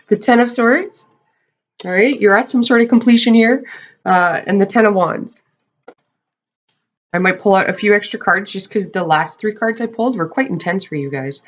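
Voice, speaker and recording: A tarot reader with a hoarse, crackling voice from losing it, giving a casual monthly reading to camera and often checking in with the viewers. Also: mid-range voice. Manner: chatty, often checks in with "okay?"; clears throat often.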